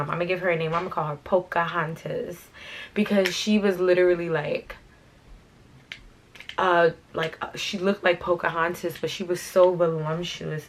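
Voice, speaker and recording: Chatty young woman, slightly raspy, lowish. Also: a little hiss or hum. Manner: chatty, slangy, repeats "like" and "you know what I'm saying"; talks while doing her hair. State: slightly raspy from being sick. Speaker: fashion channel host. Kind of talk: casual story time.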